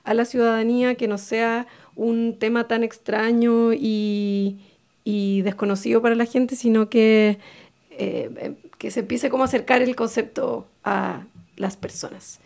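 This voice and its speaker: A woman's voice: fairly high pitch, fairly even intonation, a little slow, gravelly, a little mellow. A woman with a Chilean Spanish accent hosting informal radio, speaking unscripted and hesitantly as she searches for words. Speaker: radio host.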